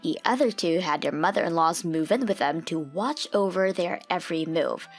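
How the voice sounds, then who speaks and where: Low-pitched woman narrating a story. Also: normal speed, soft background music. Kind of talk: scripted story narration for a manga dub.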